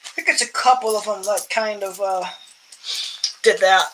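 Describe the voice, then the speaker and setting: A young man with a higher voice for a man chats casually while sorting his coin collection, amused at his own stories and often clearing his throat. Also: normal speed, noisy background. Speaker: coin collecting channel host. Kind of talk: casual rambling vlog chat while sorting coins.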